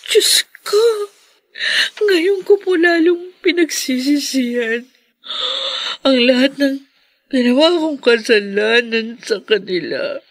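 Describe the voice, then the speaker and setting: An actress playing a remorseful, suffering young woman in a Filipino radio drama, pleading in a plaintive, halting way. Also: high voice, lively intonation, no echo, full character voice. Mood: weary, remorseful.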